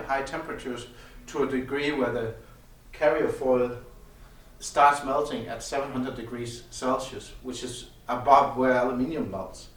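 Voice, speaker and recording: Echoey, distant male product designer, halting delivery. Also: mid-range voice, a bit bright. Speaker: loudspeaker designer presenting gear at an audio show. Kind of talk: technical product explanation in conversation. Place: indoor demo room at an audio show. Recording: echoey room, distant mic, faint noise.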